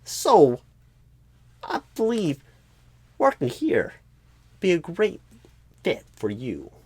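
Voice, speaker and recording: A man voicing a clueless, bumbling job recruiter in an ASMR roleplay, earnest and scatterbrained, with halting, oddly stressed phrasing and a husky, breathy voice. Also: a little high, huge pitch swings, dry recording, full character voice. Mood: earnest, rambling. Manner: halting, oddly stressed phrases in a Christopher Walken-style impression.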